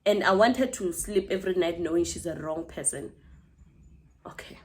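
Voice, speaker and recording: A woman's voice: lowish voice, moderate pace. A woman in her twenties with a South African accent, telling a personal story casually to camera as a vlogger, chatty and full of asides to her viewers. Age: 20s.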